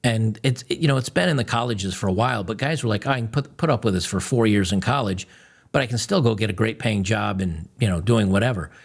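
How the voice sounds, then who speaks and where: Host type: gravel-voiced man, blunt and sneering, reacts to clips. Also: fairly low pitch, fast. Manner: blunt, mocking, animated.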